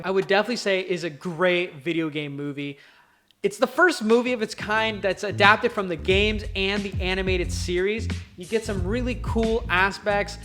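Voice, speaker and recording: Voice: medium pitch, a bit bright. Enthusiastic film reviewer over prominent music. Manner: enthusiastic, emphatic, chatty. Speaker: YouTube host reviewing films. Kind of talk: casual enthusiastic video commentary, countdown list. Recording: music playing behind the voice. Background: background music.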